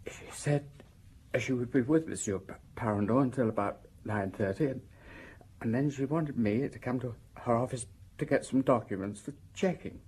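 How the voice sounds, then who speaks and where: An actor playing a shocked male witness in a radio drama, stammering and halting as he struggles for composure. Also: mid-range voice, darkish tone, slightly rough, lightly acted. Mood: shaken, halting.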